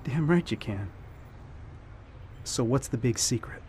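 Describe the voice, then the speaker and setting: An actor playing a world-wise, protective barkeep, blunt and slangy, in an old-time radio noir style. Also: male voice, mid-range voice, lively intonation, a little slow, a little mellow, slight background noise, natural voice. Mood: probing, concerned.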